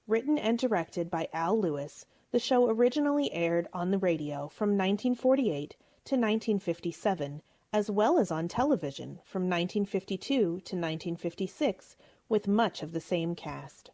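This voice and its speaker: A woman's voice: medium pitch, animated, moderate pace, mellow. A woman host giving a scripted introduction and narration for a radio play.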